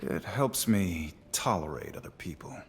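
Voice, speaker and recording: A man's voice: low-pitched, expressive, measured pace, a little hiss or hum. An actor playing a brooding, hard-drinking wandering swordsman in a video game, a wry loner with a dry, guarded, laconic delivery. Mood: wry, aloof. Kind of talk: understated video game acting.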